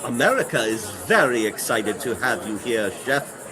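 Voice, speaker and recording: A man's voice: medium pitch, big pitch swings, crowd noise, natural voice, poor audio. A man playing a polite, formal attaché to a celebrity chef in a comic audio drama. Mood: polite, composed. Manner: formal, courteous, measured.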